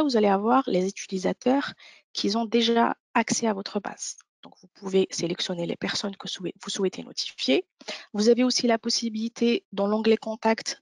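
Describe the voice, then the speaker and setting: Female webinar presenter, mid-pitched with noticeable creak, methodical explanatory delivery, very dry close sound. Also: no echo. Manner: step-by-step explanatory. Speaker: software demo presenter. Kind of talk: instructional webinar walkthrough.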